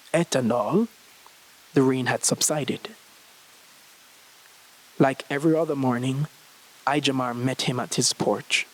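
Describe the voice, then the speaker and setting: A man's voice: mid-range voice, rough recording. A young man reading a scripted short story aloud as its narrator. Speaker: story reader.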